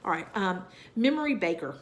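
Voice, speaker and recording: A woman's voice: mid-range voice, lively intonation, a little fast. A woman with a Southern US accent chatting casually about her cross-stitch projects, her voice nasal and scratchy from a cold, rambling with fillers and asides. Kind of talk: casual chat to camera.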